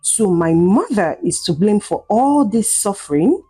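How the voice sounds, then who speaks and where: A woman's voice: mid-range voice, expressive, measured pace, mellow, slightly rough, no echo. A woman voicing a resentful young daughter in a folktale, wounded and accusing. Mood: bitter, accusing.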